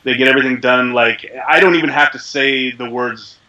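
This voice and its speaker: A man who is a voice actor, talking casually in an interview with a deep, resonant voice while a little sick, rambling with many fillers. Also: fairly quick, lo-fi sound, background noise. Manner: chatty, rambling, self-deprecating with frequent fillers. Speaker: voice actor guest.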